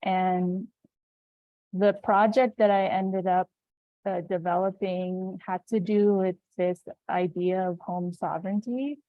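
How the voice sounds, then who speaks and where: Slow, low-voiced woman on a video call. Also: fairly even intonation, no room sound. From Kuwait. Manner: soft-spoken, reflective, with frequent fillers. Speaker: invited speaker. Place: home in New Mexico. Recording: dry, phone-like video call audio.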